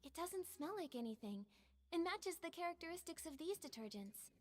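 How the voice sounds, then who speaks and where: High-pitched earnest young detective girl. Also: animated, normal speed. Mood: earnest reasoning. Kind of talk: video game voice acting.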